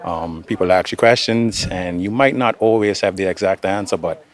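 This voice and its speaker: A man's voice: low voice, moderate pace. A young man giving informal interview answers as an air traffic controller. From Bermuda. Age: young adult.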